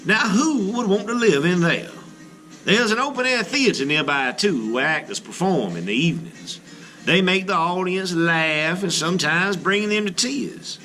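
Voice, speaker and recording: A man's voice: high voice, expressive, measured pace, sharp tone, soft background music, exaggerated voice. A man reading as a chatty, wide-eyed little toy traveler writing a letter, full of eager childlike wonder. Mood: eager, curious.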